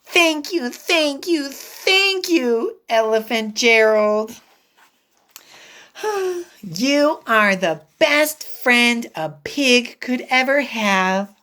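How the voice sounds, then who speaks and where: A woman voicing an excitable, cheerful little pig in a storybook read-aloud, with a bouncy singsong manner. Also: a little high, very slow, exaggerated voice. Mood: earnest.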